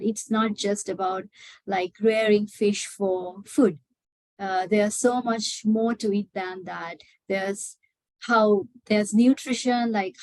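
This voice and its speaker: Slow, hesitant young woman presenter. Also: medium pitch, a little mellow, no room sound, rough recording. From Sri Lanka. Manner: hesitant, many filler sounds. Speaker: PhD student presenting a webinar. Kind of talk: webinar presentation.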